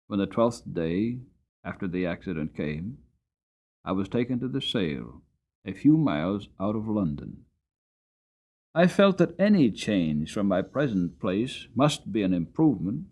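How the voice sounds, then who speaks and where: Storybook narrator: long-suffering horse acted by a man, slightly low register, very animated intonation, deliberate and earnest. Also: lo-fi sound, full character voice. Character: weary, long-suffering male horse telling his life story.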